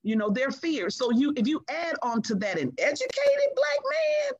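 A middle-aged woman speaking as a guest in a conversation, earnest and emphatic, measured in her delivery. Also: high voice, very animated, darkish tone, no echo, lo-fi sound. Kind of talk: reflective conversation.

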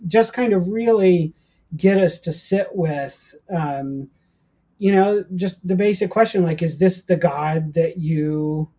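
An American man in middle life with a soft-edged, raspy voice. His tone is mellow and unforced as he tells personal stories in a casual interview, with a self-deprecating streak. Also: on the high side. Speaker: guest, professor of ancient Christianity.

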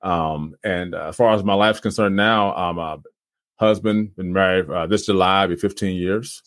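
A man, a guest on a podcast, talking casually about himself with many pauses. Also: low voice, no echo, clean studio sound. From Trenton, New Jersey. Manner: relaxed, many fillers and uhs. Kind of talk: casual interview talk.

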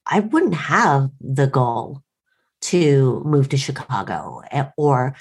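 A woman's voice: very deep voice, very animated, slow, husky. A woman in her late thirties with an American accent, telling a wry, self-deprecating story in casual conversation. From United States. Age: late 30s.

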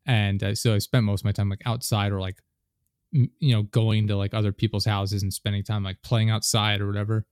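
Man in his late twenties, podcast host, low voice, dry close sound, loose rambling talk. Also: flat delivery, fairly quick, darkish tone, clean tone, no echo. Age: 20s. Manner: rambling, many fillers and restarts, laughs often. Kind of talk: casual podcast chat.

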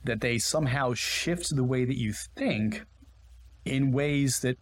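A man co-hosting a podcast, asking thoughtful questions in a relaxed, casual way. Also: mid-range voice, measured pace. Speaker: podcast co-host.

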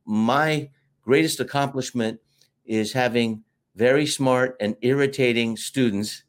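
A middle-aged American man, a marketing teacher talking at length as an interview guest, in an expansive storytelling way with fillers. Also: mid-range voice. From United States. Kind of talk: casual interview talk.

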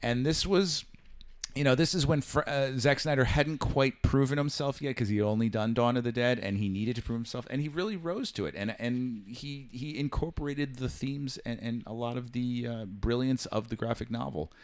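A man hosting a casual film podcast, giving a measured review with dry jokes and "um" fillers. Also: medium pitch. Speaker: podcast host.

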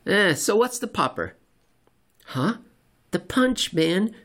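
A man voicing an excitable, half-crazed, slangy male enthusiast in a comic sci-fi story reading. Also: high-pitched, slow, pitched above the performer's own voice, full character voice. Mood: manic, goading.

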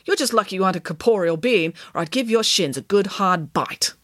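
A woman's voice: medium pitch, expressive, fairly quick, a bit bright, no room sound, clean studio sound. A woman voicing a small, vain, bossy pig nanny in a storybook, exclaiming imperiously. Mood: grumpy.

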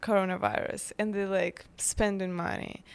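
A woman in her twenties from Ukraine, with a Ukrainian accent, talking as a guest in a casual interview, halting and full of fillers. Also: mid-range voice, level tone, creaky, smooth. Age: 20s. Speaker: guest, bakery founder.

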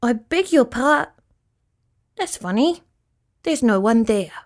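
A woman's voice: on the high side, animated, slow. A woman voicing a polite, apologetic sea rat in a children's storybook, earnest and easily puzzled. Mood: apologetic, friendly.

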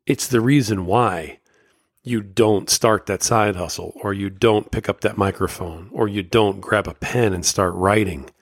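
A man's voice: lowish voice, a little slow, husky, no room sound, studio quality. A man hosting a solo podcast, talking candidly and motivationally, his voice slightly scratchy from a summer cold. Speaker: podcast host.

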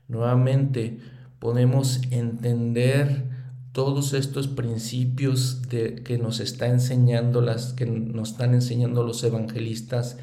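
A man's voice: medium pitch, mellow, reverberant. A middle-aged man giving a reflective religious lesson, earnest and thinking aloud as he speaks. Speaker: scripture study teacher.

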